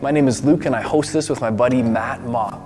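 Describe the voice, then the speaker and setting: A man's voice: medium pitch, brisk, darkish tone, soft background music. A man hosting a faith podcast, speaking in an upbeat, earnest way as he introduces the show and his guest. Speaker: podcast host.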